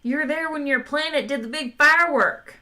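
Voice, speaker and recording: A woman voicing an excitable, boastful male adventurer, pitching her voice higher and louder. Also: moderate pace. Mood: brash excited. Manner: loud, boastful, brash.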